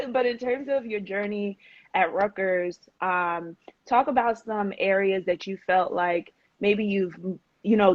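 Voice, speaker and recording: A woman's voice: on the low side, no echo, muffled recording. A woman hosting a casual online interview as an academic advisor, chatty, laughing often and filling her talk with ums and likes.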